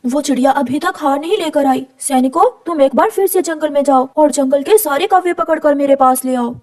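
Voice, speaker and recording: A woman's voice: high-pitched, a little fast, darkish tone, lightly acted. A woman voicing an imperious young princess in a cartoon story. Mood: commanding.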